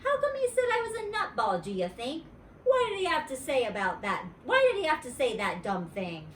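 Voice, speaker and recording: A woman's voice: high-pitched, big pitch swings, brisk, a little hiss or hum, pitched above the performer's own voice. A woman voicing a fretful, blunt little schoolgirl in a storybook, with a childish, insistent delivery. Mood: fretful, whiny. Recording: roomy echo, distant mic.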